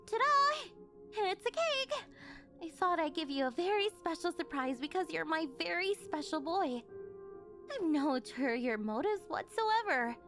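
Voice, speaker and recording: A rich, obsessive older girlfriend in an anime-style ASMR roleplay, acted by a woman. Her voice is very high and swings animatedly as she coos and gushes at her beloved. She is clingy and over-eager, with faint music behind her. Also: big pitch swings, measured pace, fairly bright tone, exaggerated voice. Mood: eager, sweet. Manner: gushing, cooing, over-eager, flustered denials. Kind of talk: ASMR roleplay acting addressed to the listener.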